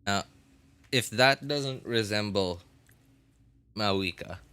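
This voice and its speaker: Low, bright-voiced man streaming games. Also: slow pace, clean tone. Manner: addresses chat with repeated questions. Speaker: livestream host. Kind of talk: casual gaming stream chat.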